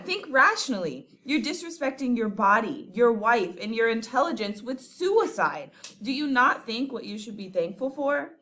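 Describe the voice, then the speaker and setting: A woman's voice: on the high side, fairly bright tone. A woman voicing a stern, fatherly older male friar who scolds and advises in a scripted audio drama. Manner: scolding, urgent, lecturing. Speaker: voice actor.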